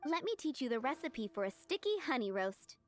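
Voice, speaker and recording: A woman's voice: high voice, sing-song, brisk, soft background music. An actress playing a friendly, encouraging young woman who runs a restaurant counter in an anime video game. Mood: delighted, encouraging. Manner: encouraging, effusive.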